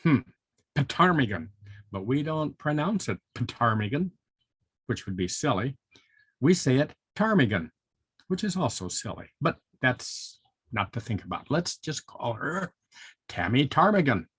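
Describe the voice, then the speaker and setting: An older man tells a children's story in a husky, very animated voice. He is playful and chatty, pausing for asides and questions to young listeners. The sound is dry and close. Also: medium pitch, no echo. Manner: chatty, expressive, asks rhetorical questions.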